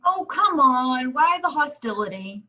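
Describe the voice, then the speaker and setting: Pushy TV newswoman, played by a woman, sassy, sharp and high, horror script read, dull recording. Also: sing-song, unhurried, full character voice. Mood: sardonic, pushy. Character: pushy, sassy female TV news reporter. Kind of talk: amateur table reading. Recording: dull lo-fi microphone sound.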